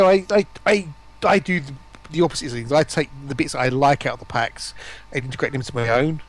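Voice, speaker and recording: Casual stream guest: man, medium pitch, conversational delivery. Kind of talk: casual chat.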